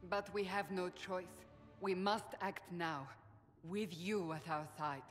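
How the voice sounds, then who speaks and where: A stern warrior Seeker, echoing hall. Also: female voice, fairly low pitch, slow pace, faint music behind. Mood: resolute. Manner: commanding, solemn, formal. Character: stern, devout warrior woman, a resolute Seeker. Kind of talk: dramatic fantasy game acting. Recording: long reverb of a large hall.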